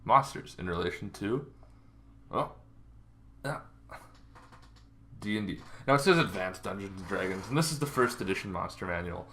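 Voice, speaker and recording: A man's voice: sits low, expressive, normal speed, long pauses, fairly bright tone. A man hosting a tabletop gaming video series, explaining casually and enthusiastically in an emphatic, informal way.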